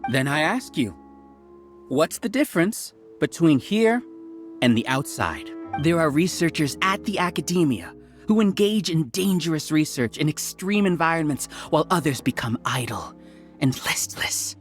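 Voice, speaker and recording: A man's voice: a little high, animated, normal speed, natural voice. A man playing a suave, calculating researcher villain in a video game, polite, condescending and persuasive. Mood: calm, self-justifying. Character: suave, calculating male researcher villain, calmly self-righteous. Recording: music behind the voice.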